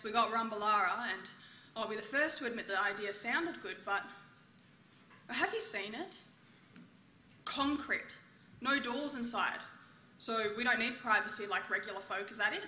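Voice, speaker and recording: Woman playing defiant 1950s mother, bright and forceful. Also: a little high. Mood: indignant, demanding. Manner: emphatic, declamatory, plain-spoken. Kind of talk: theatrical monologue. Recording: roomy sound with faint background noise.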